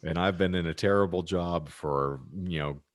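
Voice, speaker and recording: A man's voice: deep voice, moderate pace, no echo, clean studio sound. A middle-aged man co-hosting a casual comedic podcast, talking in a wordy, wry way with florid word choices. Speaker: podcast co-host. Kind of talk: casual comedic panel chat.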